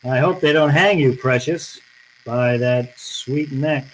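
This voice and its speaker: A man's voice: medium pitch, slow, rough recording. A man playing a cynical, unyielding private detective in an old-time radio drama, with a terse, tough, accusing manner. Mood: bitter, resolute.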